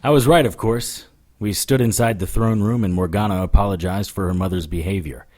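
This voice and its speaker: A man's voice: fairly low pitch, brisk, darkish tone, lightly acted. A man playing a wry, defiant supernatural investigator who narrates his case in an audio drama, dry and firm. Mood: wry narration. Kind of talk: first-person detective audio drama.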